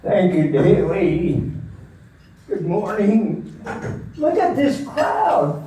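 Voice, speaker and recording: A man interviewing a guest at a church gathering, slowly introducing people to the audience in a large meeting room. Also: sits high, very animated, mellow. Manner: slow, deliberate speaker addressing a room. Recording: echoey room from far off, faint noise.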